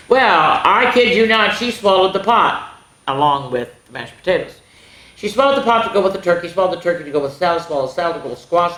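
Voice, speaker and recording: A woman hosting a children's story time, reading a picture book aloud expressively, with exclamations and asides to the young listeners. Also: on the low side. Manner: expressive, exclaiming, pausing to comment and ask the listeners. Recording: echoey room.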